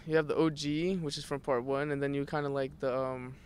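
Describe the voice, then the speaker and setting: A man's voice: mid-range voice, fairly even intonation, fairly quick, a little hiss or hum. A young man answering a street interview on campus, hesitant and casual. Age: young adult. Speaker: student interviewee.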